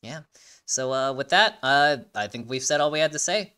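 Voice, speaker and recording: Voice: mid-range voice, lively intonation, moderate pace, bright, forceful tone, clear, resonant tone. An enthusiastic host giving casual reaction commentary, rambling and often repeating phrases while searching for words. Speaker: video host.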